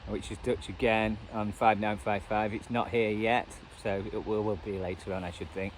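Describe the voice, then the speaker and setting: A man's voice: on the low side, flat delivery, moderate pace. Rambling man, noisy outdoor recording. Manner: rambling, self-deprecating. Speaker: radio hobbyist and video host. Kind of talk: casual unscripted commentary. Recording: noisy outdoor recording, radio static and traffic.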